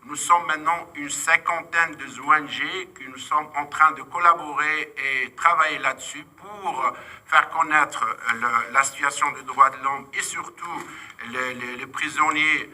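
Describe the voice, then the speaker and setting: A man's voice: on the high side, unhurried, sharp tone, poor audio. A man whose first language is Arabic gives a panel speech in a second language, hesitant, with many fillers and repeated words. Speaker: vice president of a victims' association.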